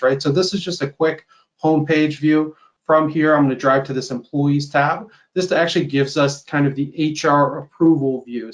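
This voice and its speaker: A man with a mid-pitched voice presents a software demo on a video call. He explains each point in a conversational, informal way, often tagging statements with a quick check-in. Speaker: software company sales executive presenting a webinar.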